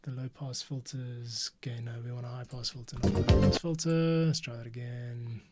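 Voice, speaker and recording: A man's voice: mid-range voice, normal speed, over loud music. Man muttering while editing audio. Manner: muttering to himself, thinking aloud. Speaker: podcast host editing an episode. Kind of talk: casual talk-through while editing audio.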